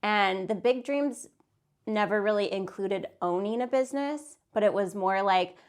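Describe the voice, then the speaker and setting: A woman's voice: mid-range voice, normal speed. A woman in her thirties, a business owner, telling her story in a casual, chatty interview. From Santa Fe, New Mexico, US. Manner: chatty, with "like" fillers and light laughs.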